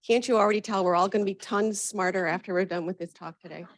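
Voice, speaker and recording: A woman hosts a public talk and reads out the speaker's introduction. She sounds upbeat and welcoming, in a mid pitch, recorded close and dry. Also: steady tone, fast, no echo. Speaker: event host introducing the speaker. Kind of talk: scripted introduction.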